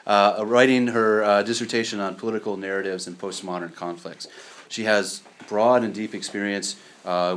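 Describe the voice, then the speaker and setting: A man introducing a guest speaker formally, halting often with fillers. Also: low-pitched, fairly bright tone, slight background noise.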